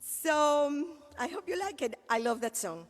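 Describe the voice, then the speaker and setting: A woman's voice: high voice, lively intonation, normal speed, big-room sound. A woman singer hosting a children's sing-along concert, addressing the young audience.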